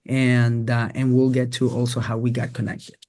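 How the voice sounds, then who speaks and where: A man hosting an investing podcast, asking questions in a relaxed, encouraging way. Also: medium pitch, moderate pace. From immigrant to the US. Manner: encouraging, repeats praise. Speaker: podcast host. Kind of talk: casual interview hosting.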